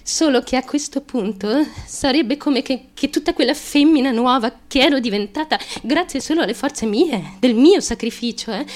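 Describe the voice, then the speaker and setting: An actress playing an unhinged, naive young woman in a dramatic monologue, confessing with halting, obsessive repetition and ferocious seriousness. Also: high voice. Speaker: stage actress. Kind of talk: dramatic stream-of-consciousness monologue.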